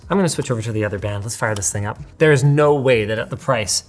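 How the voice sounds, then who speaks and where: A man hosting a casual product unboxing, excitable and sarcastic, with a Canadian accent. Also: mid-range voice. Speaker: tech video host.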